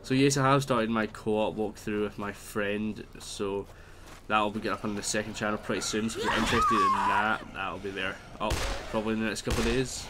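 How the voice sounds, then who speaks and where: A man's voice: fairly low pitch, fairly even intonation, fairly quick, heavy background noise. A man with a stuffy nose giving rambling, chatty commentary while playing a video game, exclaiming at what happens. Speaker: let's play host. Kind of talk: casual gameplay commentary.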